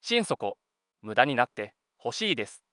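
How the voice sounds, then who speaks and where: Junior male detective, acted by a man: sharp, bright mid-range voice, polite grumbler and straight man in a crime drama. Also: mid-range voice, big pitch swings, moderate pace, no room sound, clean studio sound. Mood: exasperated, curious. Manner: polite but complaining to his senior. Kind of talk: scripted detective audio drama.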